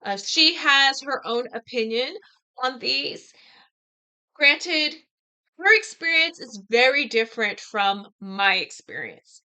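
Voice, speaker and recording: An American woman with a fairly high, bright voice and a smooth, clear tone. She talks casually and reflectively, choosing her words in a halting, deliberate way. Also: lively intonation, poor audio. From United States. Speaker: vlogger discussing a book. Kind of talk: casual reflective talk to camera.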